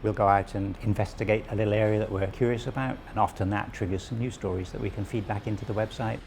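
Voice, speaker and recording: A man around sixty, originally from Wales, calmly explaining local history and his website as an interview guest, with small restarts. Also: fairly low pitch, fast talker, gravelly, slight background noise. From southwest Wales. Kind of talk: relaxed conversational explanation.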